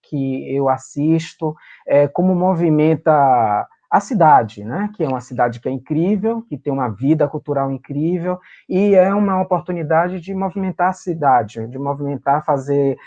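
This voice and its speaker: A man's voice: fairly high pitch, moderate pace, hardly any pauses, mellow, highs cut off. Man recalling with emotion. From Salvador, Brazil. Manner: emotional, searching for words with many fillers. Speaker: guest performer and writer. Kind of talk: remote interview, reminiscing.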